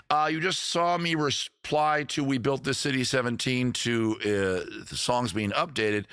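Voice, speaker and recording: A man's voice: medium pitch, vocal fry, fairly bright tone, no echo, studio quality. A man hosting a live music stream, chatting casually with viewers between songs in a rambling, joking way and poking fun at his own singing. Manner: self-deprecating about his own singing. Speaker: livestream host and musician. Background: his own acoustic guitar playing.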